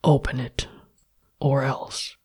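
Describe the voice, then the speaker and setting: Woman doing a gruff gravelly captive man. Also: flat delivery, slow, a little mellow, full character voice. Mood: angry, menacing. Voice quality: deep, gravelly.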